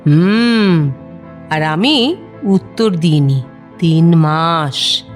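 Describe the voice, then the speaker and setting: Slow-speaking, mischievous grandmother. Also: low voice, animated, soft background music, exaggerated voice. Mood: amused, nostalgic. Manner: teasing, wry, unhurried. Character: mischievous, affectionate grandmother teasing and reminiscing. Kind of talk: Bengali audio drama acting.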